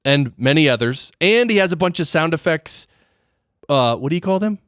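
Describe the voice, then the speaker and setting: A man's voice: fairly high pitch, expressive, normal speed, a bit bright, no room sound, studio quality. A man hosting a podcast, chatting casually with his guest in an interview. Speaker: podcast host.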